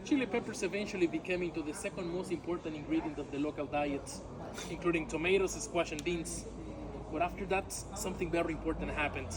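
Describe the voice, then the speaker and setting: A man's voice: on the high side, low-quality recording. A man whose first language is Yucatec Maya, speaking English with a Mexican Spanish accent as a tour guide, chatty and jokey, often saying "my friends" and "all right?". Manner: jokey, laughs at his own jokes. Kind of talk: outdoor walking tour talk. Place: outdoors among ruins, tour group around. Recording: outdoor crowd of tourists behind.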